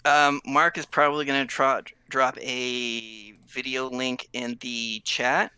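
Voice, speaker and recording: A man's voice: medium pitch, little pitch movement, takes it slowly, sharp tone, clean tone, dry recording. A man from California chatting as a guest about his project, easygoing and rambling with fillers. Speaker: guest developer. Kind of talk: casual interview chat.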